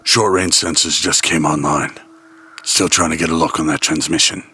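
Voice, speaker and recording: A man's voice: rumbling low, steady tone, moderate pace, bright, forceful tone, slight background noise. A man playing a grim, determined starship captain in a radio drama, terse and grave. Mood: grave, tense. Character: grim, determined male starship captain, calm under pressure.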